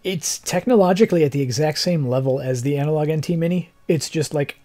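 A young adult man who streams games, chatting casually in a rambling, technical way, with a slightly hoarse voice. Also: on the high side, fast talker, dark tone, soft background music. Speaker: game streamer. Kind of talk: casual livestream chat. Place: living room, seated in armchair indoors.

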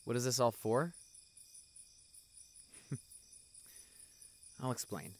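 A man plays a tender young doctor boyfriend in an intimate audio roleplay. He speaks in a soft, mid-pitched voice, affectionate and a little hesitant, as a devoted partner on a date. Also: very slow, faint music behind. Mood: earnest, loving. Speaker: voice actor.

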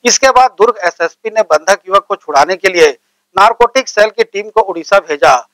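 Studio anchor guy reading news, high pitched voice, dry sound. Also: lively intonation, a bit bright, dry recording, low-quality recording. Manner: formal, measured read delivery.

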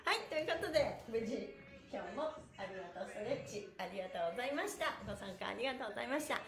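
A woman's voice: fairly high pitch, animated, very fast, echoey room. A middle-aged woman hosting a chatty live exercise class, bubbly and playful as she addresses her viewers. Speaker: pantomime teacher and livestream host. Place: indoor room with bare walls and exercise mat.

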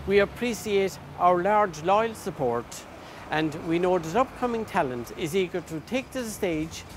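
High-pitched, animated older man. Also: normal speed, a little hiss or hum. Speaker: pantomime society spokesman. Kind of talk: scripted address. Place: outdoors at a building entrance.

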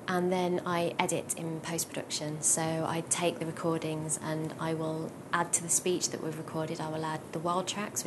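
British woman, lower-pitched, over noisy rumble. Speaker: sound engineer and sound designer. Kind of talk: informal interview about own job.

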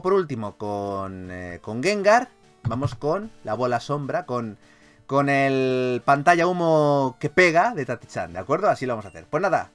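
A man with a Castilian Spanish accent giving casual, animated gameplay commentary as a video host, swearing freely. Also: on the high side. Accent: Spanish from Spain.

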